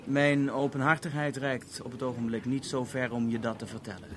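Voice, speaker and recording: An actor in a 1970s radio drama playing an urbane, wry French police officer who speaks in measured, formal, dryly ironic sentences. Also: male voice, medium pitch, flat delivery, a crowd in the background, close to an everyday voice. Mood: businesslike. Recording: noisy old radio play recording.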